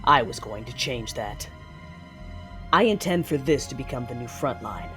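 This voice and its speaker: An actress playing a cold, commanding Sith lord in an audio drama, speaking in measured, menacing tones. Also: female voice, a bass voice, animated. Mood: cold resolve. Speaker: narrator and character. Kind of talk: dramatic first-person audio drama narration. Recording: music under the voice.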